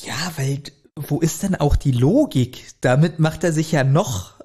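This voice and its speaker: A man with a fairly high voice talks as a podcast co-host. His delivery is casual and conversational, like a review among friends, with frequent chuckles. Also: measured pace, mellow, husky. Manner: chuckles often while talking. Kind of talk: casual chat reviewing an audio drama.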